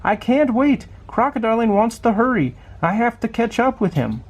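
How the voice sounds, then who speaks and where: A man's voice: very high-pitched, very animated, normal speed, pitched above the performer's own voice, full character voice. A man voicing a headstrong, sensitive young boy from a picture book, eager and insistent. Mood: impatient.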